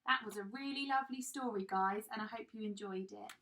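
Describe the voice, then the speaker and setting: Female children's storytime reader: bright, unhurried, animated, teacherly, slightly distant mic. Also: medium pitch, a little hiss or hum. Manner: animated, child-directed, gently coaxing. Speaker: early years teacher reading bedtime story. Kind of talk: children's storytime read-aloud with questions to listeners.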